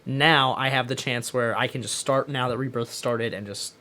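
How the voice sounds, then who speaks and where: Man, podcast co-host, mid-pitched voice, casual discussion, emphatic, opinionated. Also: steady tone, moderate pace, sharp tone. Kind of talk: casual pop-culture discussion.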